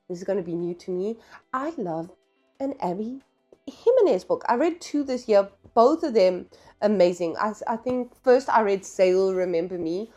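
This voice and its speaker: A woman's voice: mid-range voice, warm tone. Female book vlogger, mid-range voice, casual and slangy, frank and emphatic opinions, music underneath. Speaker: book reviewer, vlog host. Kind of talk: casual, chatty book review vlog.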